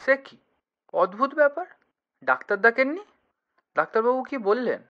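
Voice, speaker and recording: A man's voice: very high-pitched, very animated, moderate pace, lo-fi sound, pitched above the performer's own voice. A man voicing an anxious, questioning young male visitor, earnest and colloquial, in a horror cartoon. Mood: questioning, startled.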